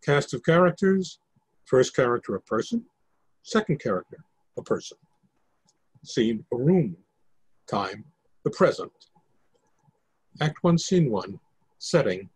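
Husky older man reading stage directions. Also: mid-range voice, big pitch swings, slow pace, pauses often, no room sound, poor audio. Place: indoors at home on a video call.

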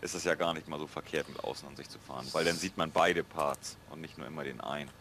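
A man with a northern German accent chatting calmly while co-playing a farming game, explaining things plainly. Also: rumbling low, fast, slight background noise. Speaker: co-player. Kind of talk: casual gaming chat.

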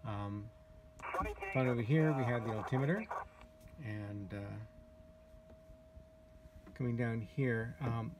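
A man giving a casual, halting walkthrough as a glider pilot, pausing often as he explains, recorded outdoors on a handheld camera. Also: medium pitch, crowd noise, poor audio. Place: outdoors in an open glider cockpit in a driveway. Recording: handheld camera recording with clear background noise.

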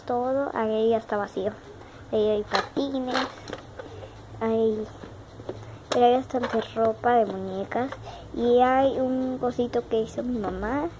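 A woman's voice: on the high side, noisy background. Chatty Argentine girl on a phone. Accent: Argentine Spanish. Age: child. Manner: chatty, repeats words, childlike diminutives. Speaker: young vlogger showing her dollhouse. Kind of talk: casual show-and-tell narration. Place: home room with wooden floor, indoors. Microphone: phone camera held in hand.

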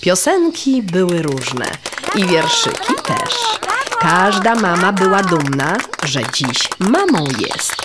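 A woman reading a scripted children's story in an expressive storytelling manner. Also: medium pitch, noisy background. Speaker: narrator.

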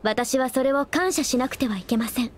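An actress playing an earnest young princess in a game, speaking in formal, polite speech. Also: female voice, high voice, brisk, close to an everyday voice. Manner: formal polite speech, flustered when teased. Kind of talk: Japanese game dub acting. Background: game music.

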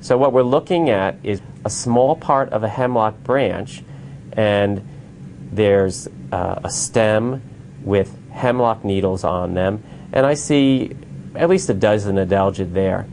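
A man working as a researcher, explaining his experiments in an unhurried way with filler pauses. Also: lowish voice, darkish tone, a little hiss or hum.

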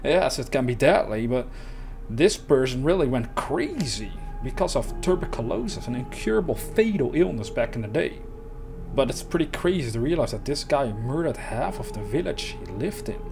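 A man's voice: mid-range voice, sing-song, moderate pace, creaky. Casual male true crime narrator over music. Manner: conversational, informal asides between read passages. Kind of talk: casual narration reading and commenting on written accounts.

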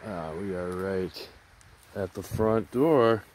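Man vlogger, lowish voice, keen and chatty, handheld outdoor camera audio with clear rustling behind him. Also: slow, background noise. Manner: excited, pointing things out while scrambling. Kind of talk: casual handheld walking commentary. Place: outdoors in rocky woodland. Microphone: handheld action camera.

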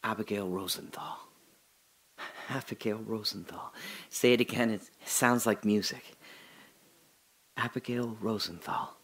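A man performing a reflective audio drama monologue, speaking slowly and often dropping to a whisper. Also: on the low side, steady tone, a bit bright. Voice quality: slow, gravelly and husky, often dropping to a whisper. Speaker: radio drama narrator and lead.

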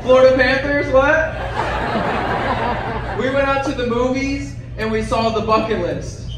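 A man's voice: very high-pitched, unhurried, heavy background noise. A man in his twenties doing a stand-up set in a comedy club, teasing the audience and making fun of himself. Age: 20s. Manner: self-deprecating, teasing the crowd. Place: comedy club stage before a large audience. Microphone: handheld mic, heard from far in the room. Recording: echoey club room from far off, loud crowd laughter.

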